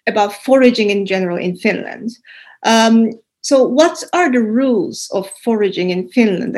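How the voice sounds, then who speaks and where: A woman interviewing a guest in a casual chat, hesitating and filling her pauses with lots of uhs. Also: on the high side. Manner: hesitant, many uh fillers.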